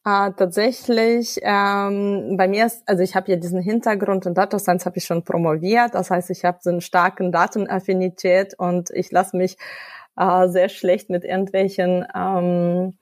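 A woman from Ukraine, talks through her work as a guest on a podcast. She searches for words and restarts often, with a steady middle pitch. The sound is dry and close. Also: normal speed, dry recording. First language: Russian. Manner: thoughtful, many fillers and restarts. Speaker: guest, data science expert. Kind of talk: podcast interview.

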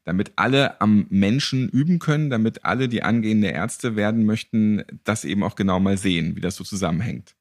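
Guy hosting a chatty interview, voice on the low side, really dry sound. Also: fairly quick, no room sound. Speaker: interview host. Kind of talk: conversational interview moderation.

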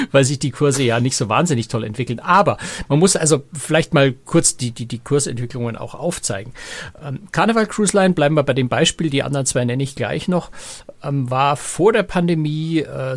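A male podcast co-host explains things in a casual way. His voice sits at a medium pitch with noticeable vocal fry, and the recording is close and dry. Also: dry recording. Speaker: podcast co-host and cruise journalist.

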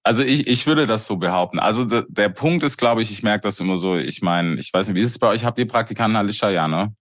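A man radio presenter speaks bluntly in a casual roundtable, agreeing or disagreeing in short flat words. His voice is very low for a man. The recording is dry and close. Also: fast, no echo.

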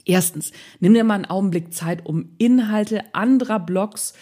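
A woman's voice: mid-range voice, normal speed, mellow. A woman with a Northern German accent giving a casual blogging tutorial, chatty, playful and colloquial as she speaks to the viewer.

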